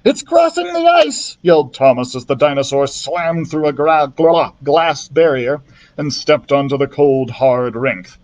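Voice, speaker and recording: Guy reading a children's story aloud, even storyteller voice, middle pitch. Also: a little slow. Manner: steady storytelling narration with small slips. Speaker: children's librarian.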